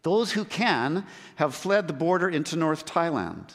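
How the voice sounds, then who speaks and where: A man delivering an earnest sermon about mission work, pausing for emphasis between phrases. His voice sits a little high for a man. The sound carries the long reverb of a large church hall. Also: lively intonation, a bit bright. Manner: earnest, with measured emphatic pauses. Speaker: missionary preacher.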